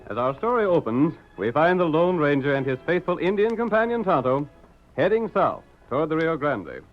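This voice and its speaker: A man's voice: a little high, sing-song, moderate pace, soft background music. A man narrating a western radio drama in a grand, declamatory old-time announcer style. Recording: dull, hissy old radio recording.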